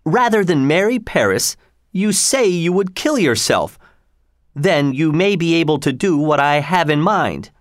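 A man reading aloud, voicing an old friar who calmly lays out a plan. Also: on the high side, unhurried, no room sound, clean studio sound. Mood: instructing. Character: elderly male friar, calm and scheming.

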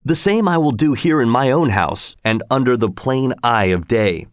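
A man voicing an old Hawaiian wizard, grave and commanding, with a solemn, deliberate delivery. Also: mid-range voice, animated, no room sound, studio quality, lightly acted.

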